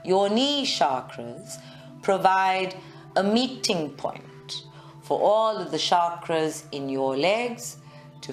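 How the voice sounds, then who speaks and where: A woman guiding a live meditation in a measured, soothing way, with long pauses. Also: fairly low pitch. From South Africa. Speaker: meditation guide. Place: group meditation session at a centre, recorded live.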